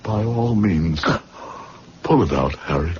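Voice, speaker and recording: A man's voice: low voice, expressive, slow, a little mellow, breathy edge, exaggerated voice. An actor playing a remorseful, brooding young medical man haunted by guilt, in melodramatic old-time radio style. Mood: dying, resigned. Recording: dull, noisy old radio drama recording.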